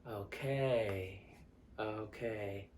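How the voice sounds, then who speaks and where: An actor playing an earnest, indignant young man who confronts strangers in a dramatic monologue, speaking deliberately with hesitant pauses and insistence. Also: lowish voice, a little mellow, a little hiss or hum. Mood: polite, restrained. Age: young adult. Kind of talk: dramatic monologue, conversational direct address. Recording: echoey room.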